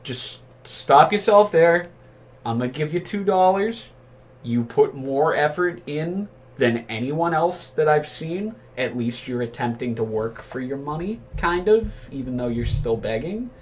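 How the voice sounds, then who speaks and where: A man's voice: a little high, expressive, measured pace. A man telling casual anecdotes among friends in a rambling, profane, incredulous way. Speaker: storyteller.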